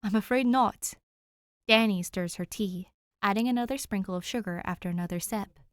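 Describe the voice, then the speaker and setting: A woman's voice: medium pitch, a little fast, fairly bright tone, dry recording. Young woman narrating, dry close sound. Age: young adult. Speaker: amateur audiobook narrator. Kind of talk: expressive story narration and casual chat.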